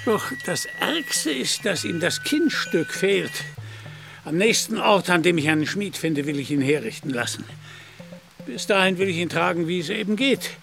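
An actor in a German radio play as a deluded, grandiose knight-errant, declaiming in lofty archaic phrases. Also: male voice, high-pitched, animated, normal speed, creaky, a bit bright, faint music behind, full character voice. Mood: lofty, self-satisfied. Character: pompous, deluded knight-errant, an ageing gentleman, lofty and fiery.

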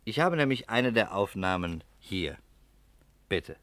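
An actor playing a shrewd English private detective in a German radio crime drama, politely probing and deliberate with gentle irony. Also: male voice, lowish voice, very animated, no room sound. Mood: calmly accusing.

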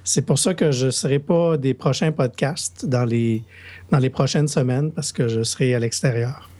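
A man with a Québécois French accent co-hosting a casual podcast, hesitant and quick to laugh. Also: medium pitch, a little mellow. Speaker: podcast co-host.

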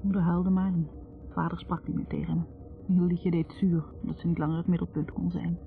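An actress playing a wounded, bitter young academic woman confessing in a halting, accusing way in a 1960s radio drama. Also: fairly low pitch, little pitch movement, gravelly, music in the background. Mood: bitter, weary. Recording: tinny narrow-band 1960s radio recording.

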